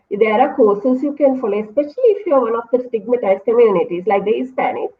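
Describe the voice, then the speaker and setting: A woman with a Sri Lankan accent, a university English lecturer, explaining her views thoughtfully in an online interview. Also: a little high. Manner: thoughtful, explanatory, self-correcting. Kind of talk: academic discussion in an online interview. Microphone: video call. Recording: phone-like online call audio.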